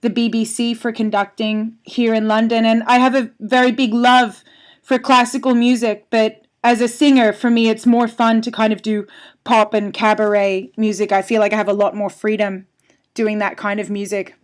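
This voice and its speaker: A woman's voice: a little high, flat delivery, moderate pace. A woman from Australia, a singer-songwriter, talking in a friendly, chatty way as a guest on a phone-in radio interview. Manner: friendly, chatty, laughs often. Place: home in London. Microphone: telephone.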